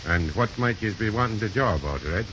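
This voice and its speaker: A man's voice: on the low side, animated, a little fast, lightly acted. An actor playing a hard-drinking, hot-tempered but honest Irish father in a 1930s radio drama, with folksy, lilting idiom. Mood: genial, evasive. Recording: hissy, muffled 1930s radio recording.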